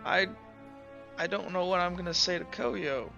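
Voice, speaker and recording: A man voicing a sad, trusting teenage boy in a roleplay game, halting and sniffling as he talks. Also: high-pitched, lo-fi sound, over music, voice pushed up. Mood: sad, tearful.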